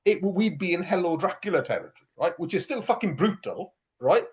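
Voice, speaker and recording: A man's voice: high voice, expressive, brisk. A man in a casual film chat, dry and jokey, chiming in often. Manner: dry, jokey, backchannels a lot. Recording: dull lo-fi mic.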